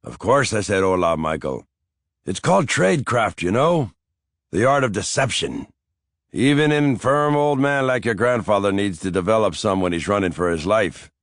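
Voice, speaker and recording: A man doing a mischievous old grandfather, pitched well above a low, dry reading voice. He talks in a chatty, teasing way, in an audiobook. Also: animated, normal speed, dry recording. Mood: wry. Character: elderly Irish grandfather, wry and teasing.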